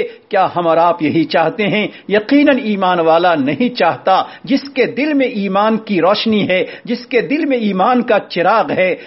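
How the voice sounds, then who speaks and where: A man preaching a sermon with passion, piling up repeated rhetorical questions and chanted refrains. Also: high-pitched. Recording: dull lo-fi recording.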